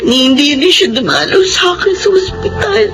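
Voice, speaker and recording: A very high-pitched young woman in mourning, anguished and bitter, with prominent music behind her. She speaks in a classic radio melodrama style. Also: very animated, moderate pace. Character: grieving young Filipino woman, anguished, bitter, self-blaming. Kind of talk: radio drama acting.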